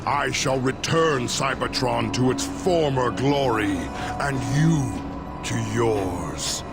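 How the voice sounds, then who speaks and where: An actor playing an imperious, ruthless giant robot warlord in a video game, commanding, menacing and grandiose. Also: male voice, takes it slowly, creaky. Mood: cold menace. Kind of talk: video game cutscene acting. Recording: loud music and battle rumble behind.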